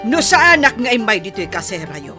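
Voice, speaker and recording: Scolding mother, Ilocano accent, high piercing female voice, scornful, radio drama, faint music. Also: sing-song, fairly quick. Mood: scornful, angry. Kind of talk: Ilocano audio drama acting.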